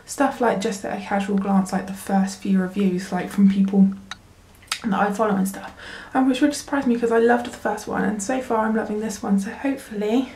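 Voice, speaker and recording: A woman's voice: mid-range voice, fairly even intonation, brisk, a little mellow, slight background noise. A woman, chatting casually in a book vlog, full of ums and likes, sniffly and congested from a cold. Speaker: book vlogger. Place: work bathroom.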